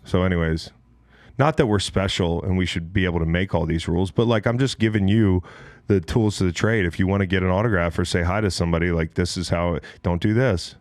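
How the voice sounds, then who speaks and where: A man's voice: low voice, steady tone, fairly quick, a little mellow, no room sound, studio quality. An American man around forty, bantering bluntly on a casual sports podcast as host, swearing freely as he tells stories.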